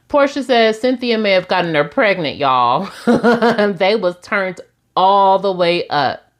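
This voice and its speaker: Woman, forties, sassy casual recap host, mid-pitched voice. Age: 40s. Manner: sassy, chatty, laughs often, addresses listeners as y'all.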